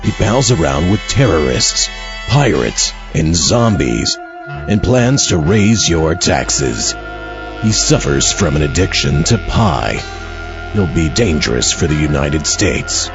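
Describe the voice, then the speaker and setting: A man's voice: a bass voice, big pitch swings, slow pace, exaggerated voice. A man playing a grave, insinuating announcer in a parody political attack ad. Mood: ominous. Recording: dull, music clearly behind.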